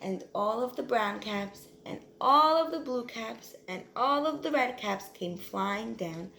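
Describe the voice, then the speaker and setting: A woman reading a children's story aloud with expressive, teacherly emphasis. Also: on the high side, unhurried, creaky. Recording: roomy echo, faint background noise.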